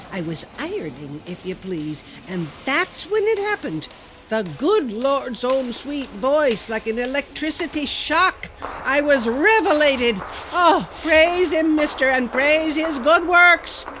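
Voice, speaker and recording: Preachy old widow, swooping voice. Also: female voice, high-pitched, lively intonation, takes it slowly, noisy background. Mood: rapturous. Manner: preachy, fervent, folksy, insistent. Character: pious, preachy old widow pressing strangers in the subway. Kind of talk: radio drama acting.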